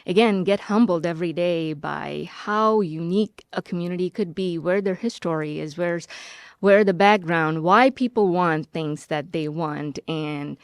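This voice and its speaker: A woman's voice: lowish voice, a little slow, clear, resonant tone, no room sound, clean studio sound. A woman from Bangladesh, a city planning director as a podcast guest, speaking earnestly and reflectively with searching restarts. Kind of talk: podcast interview.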